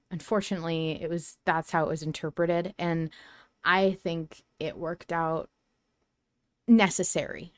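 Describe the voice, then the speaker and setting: Low-voiced woman hosting a casual podcast. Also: no echo, clean studio sound. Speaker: podcast host.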